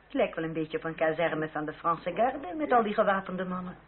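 A woman's voice: fairly low pitch, animated, fairly quick, natural voice. An actress playing an anxious, motherly French aristocratic lady, middle-aged, in an emotional and genteel radio drama style. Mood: motherly, pleading. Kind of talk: period radio drama acting. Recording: tinny, noisy old radio play recording.

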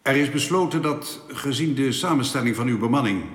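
An actor playing a formal, unbending alien councillor in a radio drama, speaking in measured, authoritative official pronouncements. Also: male voice, medium pitch, close to an everyday voice. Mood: formal, cool. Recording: echoey hall with long reverb.

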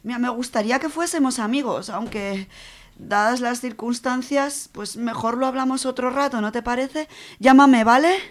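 An actress playing a brisk young woman fending off a suitor in a comic radio serial. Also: a little high, close to an everyday voice. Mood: awkwardly apologetic.

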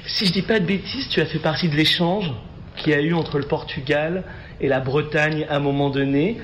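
A man's voice: on the high side, moderate pace, a bit bright. A man hosting a radio show and interviewing in a casual, improvised, slightly hesitant way. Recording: clear background noise and ambience.

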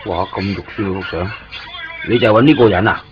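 A man's voice: lowish voice, quick pace, lightly acted. An actor with a Hong Kong Cantonese accent playing a talkative man who lives in Japan and fusses over a friend, in a 1990s radio drama. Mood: chatty. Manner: talkative, fussing and coaxing. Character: chatty Hong Kong man living in Japan, fussy guide. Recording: dull lo-fi radio broadcast with music.

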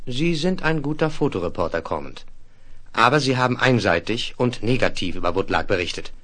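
A man playing an authoritative, genial state marshal in a science fiction radio play, speaking in a measured, courteous way. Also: medium pitch, expressive, a bit bright. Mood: measured, official. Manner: measured, courteous, jovially commanding. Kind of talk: 1970s German radio play acting.

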